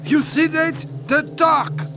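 A man's voice: very high voice, fairly even intonation, takes it slowly, over music. A man playing a hot-headed armed fugitive in a radio horror drama, blunt and cocky. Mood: cocky, determined. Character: hot-headed, trigger-happy male fugitive with a gun.

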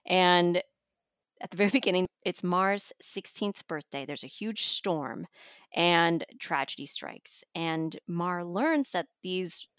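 A woman's voice: on the low side, lively intonation, slow, a bit bright, smooth, no room sound, clean studio sound. A woman magazine editor enthusiastically reviewing a book in a conversational interview.

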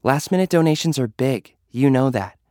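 A man's voice: medium pitch, animated, moderate pace, dark tone, dry recording, clean studio sound, voice pushed up, lightly acted. A man voicing a hard, foul-mouthed young woman influencer in an audio drama, curt and scolding. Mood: scornful, impatient.

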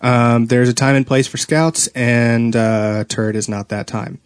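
A man with a mid-pitched voice chats casually as a podcast co-host about games. He is playful and likes to tease, and the sound is dry and close, with little room in it. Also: steady tone, measured pace, clean tone, dry recording. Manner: playful teasing, joking with co-hosts. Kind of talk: casual gaming chat.

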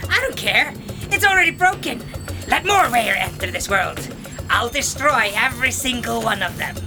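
A woman's voice: on the high side, animated, moderate pace, natural voice. A woman playing a spiteful young horned male runt in a fantasy audio drama, taunting and snarling. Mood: cocky, taunting. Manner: taunting, snarling, breathy laughs. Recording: loud music under the voice.

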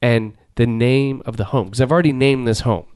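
A middle-aged man hosting a podcast in a home garage, joking in a deadpan, rambling way. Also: mid-range voice, a little fast, clean tone, dry recording, studio quality. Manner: rambling, deadpan, trails off and restarts. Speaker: podcast host. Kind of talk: casual joking banter.

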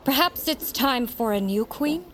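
Boastful female pack leader acted by a woman, mocking, declamatory, very animated and unhurried, noise behind her. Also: sits high. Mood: menacing. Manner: haughty, taunting, declamatory. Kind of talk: audio drama acting.

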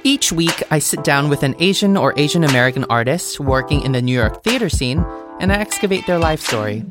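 Podcast host intro over loud music. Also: medium pitch, expressive, a little fast. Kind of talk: scripted show introduction. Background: theme music.

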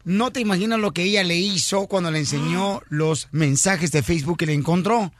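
Radio host guy, high bright voice, teasing and loud, some music under him. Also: normal speed, no room sound. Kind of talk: morning radio banter.

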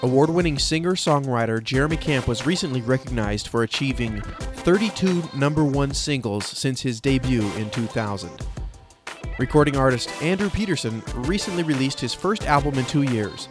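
A man's voice: medium pitch, lively intonation, normal speed. Upbeat man hosting over background music. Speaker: host. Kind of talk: scripted newsletter narration and interview.

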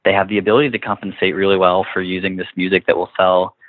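A man's voice: low-pitched, little pitch movement, fast. A man in casual interview conversation, talking informally and often acting out imagined quotes to make his point. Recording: narrow phone-like line with room echo.

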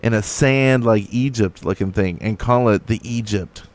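A man's voice: on the low side, moderate pace. A man co-hosting a podcast, chatting casually about games in a joking, teasing way. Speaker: podcast co-host. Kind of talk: casual gaming podcast chat.

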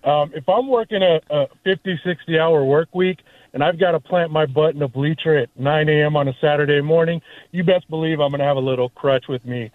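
A man's voice: fairly high pitch, fairly quick, no room sound. A man phoning in to a radio show, chatting casually over a telephone line. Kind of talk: casual call-in chat. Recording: thin phone-in line.

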